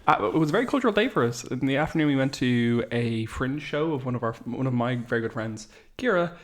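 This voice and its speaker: Man telling stories animatedly. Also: medium pitch. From Ireland. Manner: animated storytelling, quick asides. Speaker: podcast co-host. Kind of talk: casual chat.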